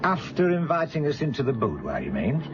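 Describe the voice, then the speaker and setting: An actor playing a wry, probing middle-aged British police inspector in a radio drama, unhurried and needling. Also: male voice, on the high side, huge pitch swings, noisy background. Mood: wry, amused. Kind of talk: naturalistic radio drama acting. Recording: dull lo-fi radio recording, music behind.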